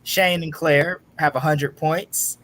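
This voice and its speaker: Higher-voiced male game show host, some noise. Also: steady tone, slow, a bit bright. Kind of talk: hosting a casual online trivia game show.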